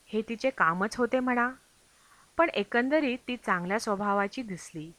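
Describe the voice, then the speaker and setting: Higher-pitched woman reading an audiobook, dry sound. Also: moderate pace, a bit bright, dry recording. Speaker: audiobook reader. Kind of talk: scripted narration of a travel memoir.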